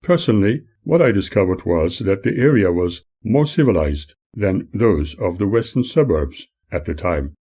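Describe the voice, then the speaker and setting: An older man reads his memoir in a measured, earnest tone. His voice sits low and dark, with a husky grain to it. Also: animated. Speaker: author reading his own memoir. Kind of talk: memoir reading.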